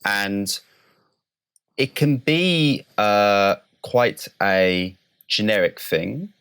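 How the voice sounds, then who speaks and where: A male scientist with a deep voice answers as an interview guest. His delivery is explanatory and measured, building precise definitions one step at a time. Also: a bit bright, clear, resonant tone.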